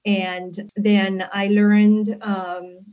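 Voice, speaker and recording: A woman, a guest answering interview questions in a thoughtful, measured way with frequent restarts, heard over a video call. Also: mid-range voice, little pitch movement. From Hungary. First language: Hungarian. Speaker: guest, linguistics professor. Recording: tinny phone-like call audio.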